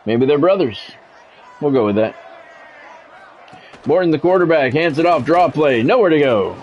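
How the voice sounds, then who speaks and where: A man doing measured, broadcast-style football play-by-play over a video game, in clipped phrases with casual gamer asides. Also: a little high, lively intonation, a little mellow, muffled recording, a little hiss or hum.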